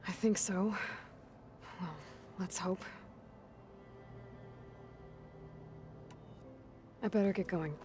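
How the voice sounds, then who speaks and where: An actress playing a guarded, headstrong young heroine in a video game, talking in a blunt, wry, probing way. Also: female voice, lowish voice, measured pace, background noise, close to an everyday voice. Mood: subdued. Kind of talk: video game dialogue acting. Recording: faint music behind the voice.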